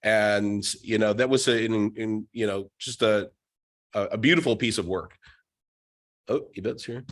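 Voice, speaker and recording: A man's voice: lowish voice, a little slow, fairly bright tone. A man hosting an online group meeting, talking loosely with many fillers. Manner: rambling, many "you know" fillers. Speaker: meeting host. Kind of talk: casual group call chat. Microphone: video call.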